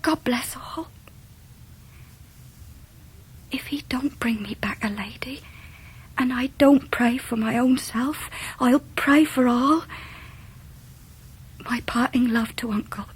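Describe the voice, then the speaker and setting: An actress playing a remorseful young runaway woman in a period radio drama, tender and breathy, reading out her farewell. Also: a little high, a little slow, slight background noise, lightly acted, rough recording. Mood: tearful, hushed. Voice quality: breathy, half-whispered.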